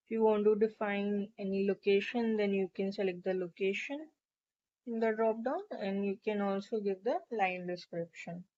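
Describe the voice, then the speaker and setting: A woman narrating a step-by-step software tutorial in an instructional, explanatory way. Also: mid-range voice, steady tone, moderate pace. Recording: dull, lo-fi microphone sound.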